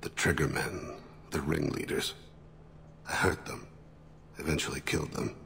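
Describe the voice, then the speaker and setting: A grave, reflective alien assassin in a video game. The voice is extremely low and thick with gravel, speaking in formal, measured phrases. Clear ambient noise sits behind it. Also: male voice, steady tone, a bit bright, heavily acted. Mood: regretful. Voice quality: gravelly, very deep. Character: solemn alien reptilian assassin, a grave regretful father. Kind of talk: video game character dialogue. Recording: noticeable background ambient noise.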